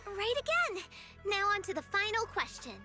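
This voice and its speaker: A woman's voice: very high-pitched, big pitch swings, normal speed, pushed and bright, full character voice. An actress playing a cheerful, playful young female companion in an anime game, breezy and friendly. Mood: playful, pleased. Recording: game music underneath.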